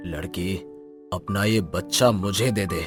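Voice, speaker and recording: A man's voice: low voice, a little slow, soft background music. A man playing a sinister tantric sorcerer who demands a baby in a commanding, menacing way. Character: sinister male tantric sorcerer, child-stealing.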